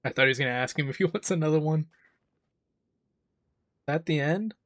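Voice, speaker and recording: A man's voice: on the high side, a little fast, fairly bright tone, no room sound. A man giving casual, emphatic, enthusiastic commentary as a game reaction streamer. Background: faint game music.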